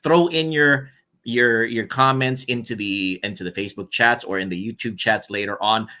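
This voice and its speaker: A man's voice: medium pitch, steady tone, moderate pace, pushed and bright. A man co-hosting a casual interview show, talking conversationally. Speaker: show co-host.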